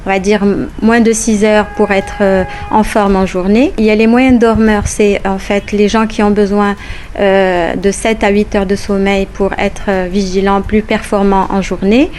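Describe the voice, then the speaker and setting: A woman sleep specialist explaining in a measured way in a recorded clip. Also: mid-range voice, flat delivery, darkish tone, slight background noise.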